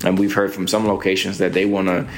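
A man's voice: low-pitched, level tone, fast. A man from a community organization explaining its plans in an interview.

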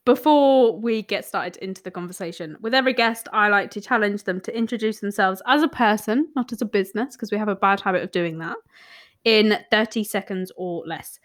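A woman hosting a podcast, bubbly and chatty, who swears casually while she introduces a guest and interviews her. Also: mid-range voice. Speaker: podcast host.

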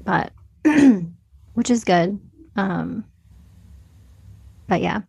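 A woman's voice: mid-range voice, animated, drawn-out words, gravelly, crowd noise. A woman co-hosting a podcast, chatting informally and hedging as she goes, with lots of "like". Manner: informal, hedging, many uses of "like". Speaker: podcast co-host. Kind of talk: casual chat.

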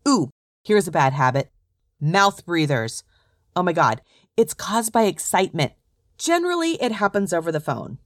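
A woman's voice: fairly low pitch, very animated, normal speed, no echo, studio quality. A woman hosting a solo podcast, telling stories in a direct, conversational way from a script. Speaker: podcast host, recruiter.

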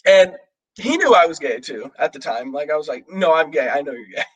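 A man's voice: a little high, expressive, brisk, a bit bright, rough recording. A young man in casual conversation, rambling with many fillers and restarts. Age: young adult. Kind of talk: casual chat.

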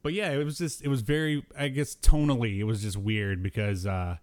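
A man's voice: mid-range voice, fairly even intonation, fairly quick. A man co-hosting a podcast, chatting casually about cartoons in a rambling way with bits of slangy talk. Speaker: podcast co-host.

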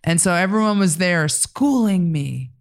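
A woman's voice: on the low side, lively intonation, unhurried. A woman hosting a podcast in an informal, effusive, joking way, in casual conversation. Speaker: podcast host.

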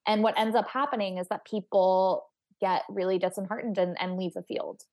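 A woman's voice: medium pitch, steady tone, normal speed, a little mellow. A young adult woman from Texas, an astrophysicist speaking as an interview guest in a chatty, candid and reflective way. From United States (Texas). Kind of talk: podcast interview conversation.

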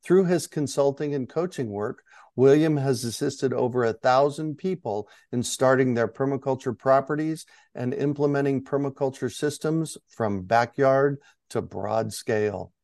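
A man's voice: medium pitch, normal speed, creaky, a little mellow, no echo, clean studio sound. A man hosting an interview podcast, presenting scripted introductions and questions. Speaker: podcast host.

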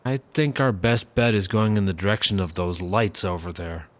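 A man's voice: low-pitched, normal speed, natural voice. A man acting an uptight, practical, anxious straight man in a sci-fi comedy audio drama. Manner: wary, exasperated straight man. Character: uptight, anxious, practical male partner prone to paranoia.

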